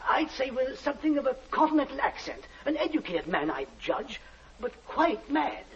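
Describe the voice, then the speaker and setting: Male, high animated voice, earnest stammering witness; dull, distant old radio sound. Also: moderate pace, slight background noise, exaggerated voice. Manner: emphatic, stammering. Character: excitable, earnest male courtroom eyewitness. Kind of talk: 1940s radio acting.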